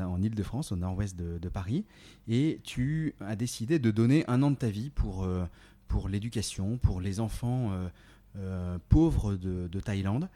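Man, web radio host interviewing a guest, low and warm, hesitant delivery. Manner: hesitant, frequent fillers and restarts.

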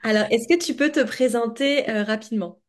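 A woman hosting a podcast interview in a casual, chatty and expressive way, speaking informally as a coach. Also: a little high, brisk. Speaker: podcast host and money coach.

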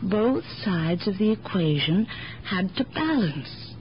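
An actress playing an independent, philosophical elderly aunt with a sharp mind, speaking deliberately in gently contrary riddles in 1970s radio drama style. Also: female voice, mid-range voice, very animated. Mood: thoughtful, precise. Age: older. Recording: dull, noisy 1970s radio broadcast recording.

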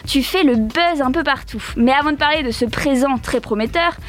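A woman hosting a radio interview in a studio, asking questions in a lively manner. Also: high voice, normal speed. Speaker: radio host.

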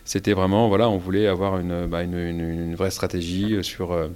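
A man's voice: deep voice, little pitch movement, moderate pace, a little mellow, clear, resonant tone. A man from Paris, a brewery co-founder, answering interview questions casually with many fillers and restarts.

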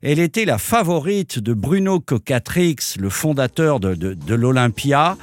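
A man's voice: medium pitch, very animated, normal speed, no room sound, studio quality. A man hosting a French radio interview, courteous and enthusiastic toward his guest. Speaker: radio host.

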